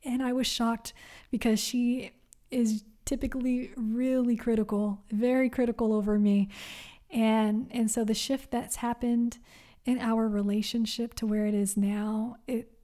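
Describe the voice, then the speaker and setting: Soft-spoken woman, gentle and encouraging. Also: on the high side, little pitch movement, measured pace. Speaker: podcast host. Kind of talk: solo wellness podcast talk.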